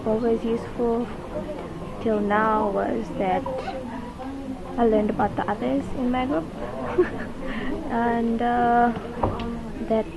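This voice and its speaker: A woman's voice: fairly high pitch, steady tone, slow, pauses often, rough recording. A young woman from Tonga, living in Fiji, answering an interview question as a participant. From born in Tonga, lives in Fiji. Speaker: workshop participant. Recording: loud crowd chatter behind.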